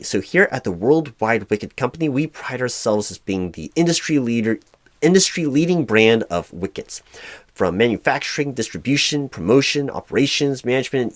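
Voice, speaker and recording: A man performing a job interview roleplay as a flustered, apologetic hiring manager, speaking formally in a halting monotone. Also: mid-range voice, no echo. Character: mildly frustrated, apologetic male corporate hiring manager. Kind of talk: one-sided job interview audio roleplay.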